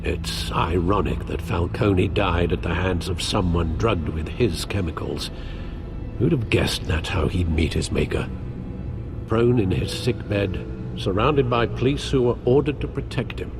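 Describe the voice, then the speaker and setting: A loyal older butler with a fairly low voice and a dry, wry manner. He speaks in measured, composed lines. A loud game score runs underneath. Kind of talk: video game voice acting. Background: music.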